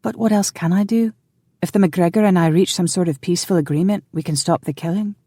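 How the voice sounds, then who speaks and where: A narrator voicing a headstrong young woman who leads a clan, loving, defiant and quick to plead or protest. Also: mid-range voice, dark tone, dry recording, pitched above the performer's own voice, close to an everyday voice. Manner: pleading, indignant.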